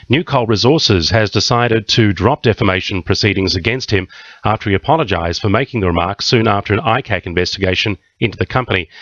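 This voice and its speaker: Low-voiced Australian male newsreader, dry sound. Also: fairly bright tone, no room sound, muffled recording. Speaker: radio news reader. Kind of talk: scripted news bulletin reading. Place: dry radio studio.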